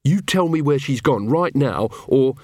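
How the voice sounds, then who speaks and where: A man's voice: medium pitch, lively intonation, measured pace, a little mellow, dry recording, studio quality. A man voicing an earnest, polite young corporal of the city watch who gets a little flustered. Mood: stern.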